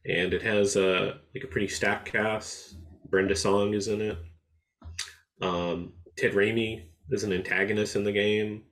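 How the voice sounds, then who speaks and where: A man co-hosting a podcast in casual chat, laid-back and halting, often pausing on "um". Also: fairly low pitch, level tone, a bit bright. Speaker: podcast co-host.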